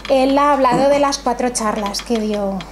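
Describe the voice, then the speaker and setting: A woman's voice: a little high, moderate pace, a loud crowd. A woman, the café's host, thanking her audience in a grateful, conversational and slightly nervous way. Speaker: café owner and event host. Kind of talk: warm welcome speech at literary event. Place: small cultural café with audience. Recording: noisy room ambience.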